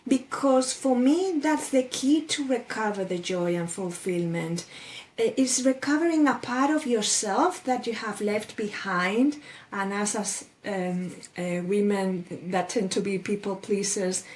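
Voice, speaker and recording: A woman's voice: medium pitch, measured pace, a little mellow. A woman in her forties from Spain with a Spanish accent, talking thoughtfully as a guest life coach over a video call, with fillers and restarts. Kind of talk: casual interview chat.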